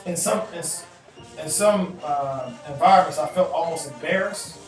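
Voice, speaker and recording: A man in his thirties talking straight to camera, answering viewer emails with animated gestures and repeating phrases for emphasis. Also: on the high side, moderate pace. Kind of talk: casual advice talk to camera. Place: indoor room in front of a chalkboard backdrop. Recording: echoey room, voice a bit far off, faint music.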